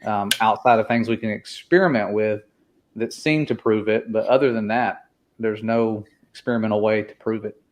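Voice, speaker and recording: A man answering casually in a discussion, halting and restarting as he talks. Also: on the low side, steady tone, a little hiss or hum. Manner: hesitant, with restarts.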